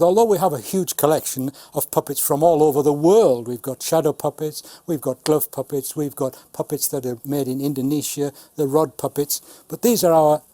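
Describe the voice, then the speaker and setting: Higher-voiced man in his seventies, dark timbre. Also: no echo. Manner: measured explaining with dry humour. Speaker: puppeteer, Punch and Judy showman. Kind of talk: informal talk demonstrating puppets.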